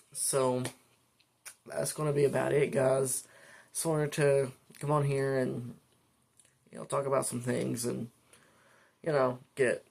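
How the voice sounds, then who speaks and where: A man hosts a casual hobby video with a creaky edge to his voice. He rambles along conversationally at a middling pitch, as if chatting to regular viewers. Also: darkish tone. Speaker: host of a weather radio channel. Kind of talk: casual product talk to camera.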